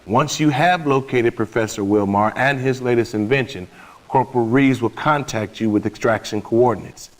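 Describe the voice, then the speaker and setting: A man's voice: medium pitch, moderate pace, natural voice. A middle-aged man playing a commanding, businesslike military officer delivering a formal mission briefing in a video game. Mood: stern.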